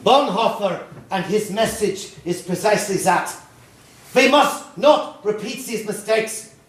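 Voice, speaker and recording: Pompous, high-pitched Nazi officer, echoey. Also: male voice, a little slow. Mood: resolute, fervent. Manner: pompous, declamatory, with bursts of shouting. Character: fanatical Nazi officer, menacing, volatile adult man. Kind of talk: theatrical stage acting. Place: indoor theatre stage, recorded from a distance. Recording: echoey stage hall, distant mic.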